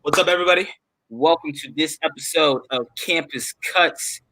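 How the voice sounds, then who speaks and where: A man's voice: on the high side, moderate pace, background noise. A man hosting an interview show in casual, colloquial chat. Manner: colloquial, says "man" and "bro" often.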